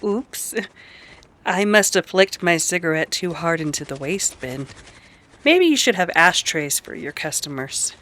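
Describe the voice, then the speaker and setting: A woman's voice: fairly low pitch, lively intonation, unhurried, fairly bright tone. A woman playing a brazen, theatrical saloon lady, haughty and dramatic, in an old-time radio Western. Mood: mock composure.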